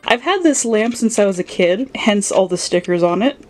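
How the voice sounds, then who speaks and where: A woman's voice: medium pitch, expressive, moderate pace, over music. Playful young woman touring her room. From Philadelphia, USA. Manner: playful, affectionate, dry jokes about her toys. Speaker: collector giving a room tour. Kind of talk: casual home tour narration. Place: home sewing room and office, indoors.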